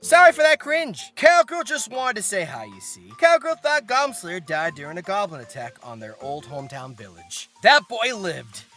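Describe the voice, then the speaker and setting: A lively, bright, forceful narrator delivers a comedic anime video essay. The delivery is theatrical, full of mock outrage and playful asides, with soft music underneath. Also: medium pitch, moderate pace, hardly any pauses.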